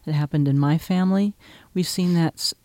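A woman with a dark, mellow voice speaks as a supportive co-host. Her delivery is measured and earnest, and the recording is dry and close. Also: low-pitched, dry recording. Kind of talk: supportive conversational commentary.

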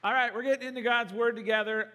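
Pastor guy with a kinda high voice, a bit hoarse, joking around mid-sermon, church echo trailing off. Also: fast talker, a faint crowd, a little hiss or hum. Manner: conversational, joking, animated preaching. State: slightly hoarse. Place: church sanctuary.

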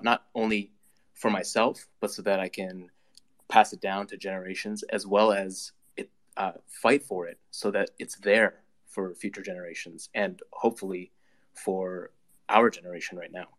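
A man's voice: low voice, level tone, normal speed, fairly bright tone. A man speaking earnestly and steadily as a panelist on a video call. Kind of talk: panel discussion.

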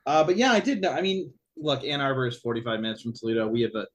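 A man's voice: mid-range voice, fast. A man co-hosting a podcast in easygoing casual chat, often saying "you know". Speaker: podcast co-host.

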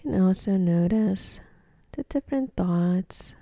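A woman guides a meditation in a very soft, mellow voice, half-whispered and gentle. She speaks slowly, leaving space between short instructions. The sound is dry and close, with no room around it. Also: mid-range voice, no echo. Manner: gentle, unhurried instruction with pauses.

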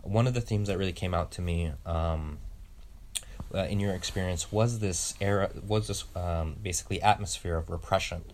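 Very low-voiced guy interviewing, rambly long questions, lots of ums. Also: normal speed, a little mellow, slight background noise. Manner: constant murmured backchannel, long winding questions. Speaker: interviewer, researcher. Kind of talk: oral history interview.

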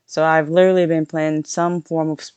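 A young woman from Louisiana with a Southern Louisiana accent, answering interview questions in a relaxed, casual way and often restarting her phrases. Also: low voice, brisk, smooth, no echo. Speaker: guest, powerlifter.

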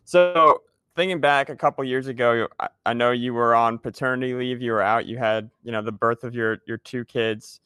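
A man's voice: medium pitch, steady tone, moderate pace, bright, forceful tone, clean tone, no room sound. A young man hosting a casual podcast, agreeing readily and filling his talk with "like" and "man". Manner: agreeable, uses lots of fillers like "like" and "man". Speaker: podcast host, music teacher.

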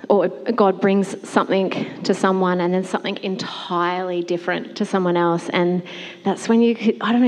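A woman's voice: lowish voice, darkish tone. A woman, a church worship leader, talking on a panel in a casual, heartfelt way, full of fillers and restarts. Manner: rambling, many fillers like "like" and "I don't know". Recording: long hall reverb.

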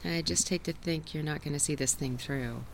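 Direct, sensual woman with fry in the voice, satirical radio play, light noise behind. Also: low voice, normal speed. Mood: subdued. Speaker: actor in an audio drama. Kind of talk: naturalistic satirical audio drama acting.

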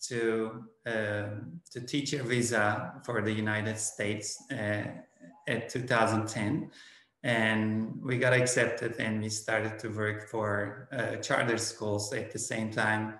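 A man with a Turkish accent, a principal answering interview questions slowly, pausing on many fillers. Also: lowish voice, flat delivery, fairly bright tone, reverberant, low-quality recording. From Turkey. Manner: slow, halting, many "uh" fillers. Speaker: school principal.

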